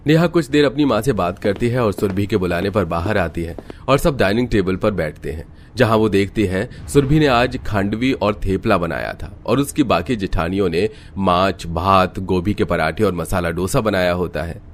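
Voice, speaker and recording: A man's voice: low voice, normal speed, few pauses, slight background noise. A man reading scripted narration for a cartoon story.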